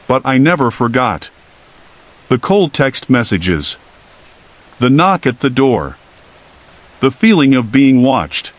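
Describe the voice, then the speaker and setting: A man narrating first-person horror stories in a calm scripted reading, with steady rain behind him. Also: mid-range voice.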